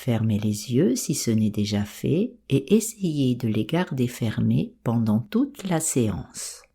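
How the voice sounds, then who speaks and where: A woman leads a guided relaxation in a low, mellow voice. She speaks very slowly and soothingly, with soft breath in the tone and a melodic rise and fall. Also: breathy edge. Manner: calm, soothing, unhurried instruction. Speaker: meditation guide. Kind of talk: guided yoga nidra meditation.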